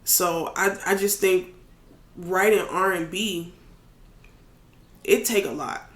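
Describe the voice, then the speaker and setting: A man with a raspy voice talking casually as a podcast guest, candid and loose, with plenty of fillers. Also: high-pitched, steady tone, slow pace, fairly bright tone. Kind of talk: casual chat. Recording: echoey room.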